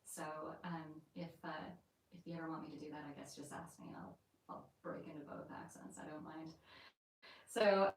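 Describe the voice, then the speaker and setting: A woman chats loosely on a live stream, her American accent tinged with Yorkshire. Her voice sits a bit low and comes from some distance from the mic. The room around her rings with a heavy echo. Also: steady tone, moderate pace, a little hiss or hum. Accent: American mixed with light Yorkshire. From England and the United States. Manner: chatty and rambling, with many fillers. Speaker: vlogger hosting a live stream. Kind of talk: casual live-stream chat. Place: indoors in an old house.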